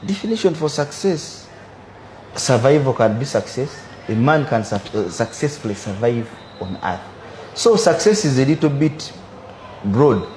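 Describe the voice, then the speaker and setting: Ugandan man in his twenties, measured. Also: medium pitch, very animated, darkish tone, slight background noise, rough recording. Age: 20s. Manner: measured, philosophizing, repeats "for me, I believe". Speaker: businessman guest. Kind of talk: interview answers.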